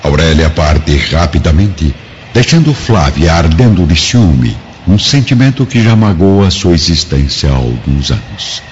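Male narrator, Brazilian accent, extremely low voice, expressive, solemn radio drama narration, lo-fi sound. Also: a little hiss or hum. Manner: solemn, measured phrasing. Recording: dull lo-fi recording over music. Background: music.

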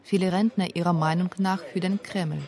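A woman's voice: lowish voice, fairly even intonation, normal speed, darkish tone. A woman narrating a scripted radio documentary feature.

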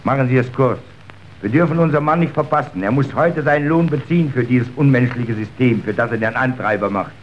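A man's voice: mid-range voice, fairly quick, lightly acted. Man acting a bitter factory worker, muffled sound. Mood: curt, impatient. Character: bitter, vengeful injured male factory worker. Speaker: actor. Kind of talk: German radio drama acting. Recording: dull, noisy old radio recording.

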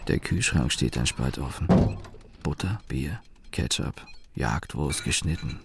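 An actor playing a disaffected, sardonic young man who narrates his own radio drama in a deadpan, grumbling way. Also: very deep voice, slow, heavily acted. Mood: deadpan, disgruntled. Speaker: narrator and main character. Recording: noisy background ambience.

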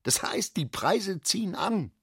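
A husky-voiced, middle-aged father and businessman. His pitch moves widely as he frets in short, emphatic phrases. The sound is close and dry, as in a studio radio play. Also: big pitch swings, a little slow, fairly bright tone, no echo, full character voice. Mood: agitated, calculating. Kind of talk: German radio drama acting.

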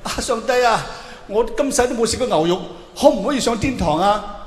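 A flustered soul begs his way into heaven , acted out by a male stand-up comic, whining and protesting in Hong Kong Cantonese. The pitch is pushed well up and exclamatory. It rings with long hall reverb. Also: lively intonation, fairly quick. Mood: pleading. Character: flustered pleading dead man at heaven's gate. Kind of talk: stand-up comedy character bit. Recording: echoey hall with long reverb, faint noise.

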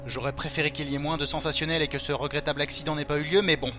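An actor playing a curt, condescending police prefect in an audio drama, pompous and authoritative. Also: male voice, a little high, flat delivery, very fast, music in the background, close to an everyday voice. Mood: impatient. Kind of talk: French audio drama acting.

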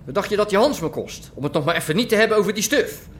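An actor playing a gruff, grumbling businessman in a naturalistic radio drama, cursing and exasperated. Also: high voice, animated, fast, a little hiss or hum. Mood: worried, grumbling.

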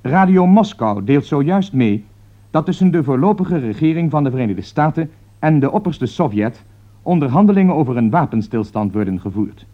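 An actor playing a grave radio newsreader giving an emergency bulletin in formal, measured Netherlands Dutch. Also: male voice, fairly high pitch, very animated. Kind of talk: 1960s radio drama news bulletin. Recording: dull vintage 1960s radio recording.

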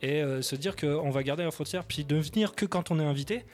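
A man's voice: a little high, fairly quick, faint music behind. A man on a radio debate show, politely asking to reply and then arguing with sympathy and many hesitations. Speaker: debate participant.

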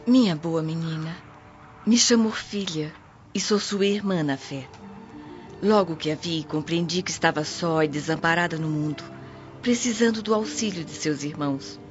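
Low-voiced, kindly washerwoman played by an actress. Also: normal speed, natural voice. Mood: tender, consoling. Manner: gentle, motherly, earnest. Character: kindly, pious, humble Roman washerwoman. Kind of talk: radio drama acting. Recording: dull lo-fi audio with music behind.

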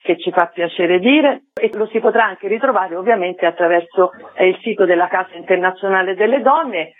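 A woman's voice: fairly low pitch, normal speed, slight background noise. A woman speaking as an interview guest in formal, winding phrases, over narrow telephone-like sound. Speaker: interviewed guest, event organiser. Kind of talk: discursive radio interview talk.

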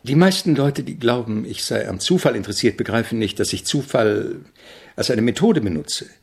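An actor playing a polite, exacting avant-garde composer in a radio drama, speaking in a measured, questioning, instructive manner with a husky voice. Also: male voice, mid-range voice, animated. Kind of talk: German radio drama acting.

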